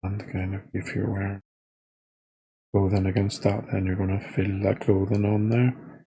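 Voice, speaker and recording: A man with a soft, husky voice leading a guided body scan meditation in an informal, unscripted, halting way. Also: fairly low pitch, flat delivery. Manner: hesitant, halting delivery with trailing phrases.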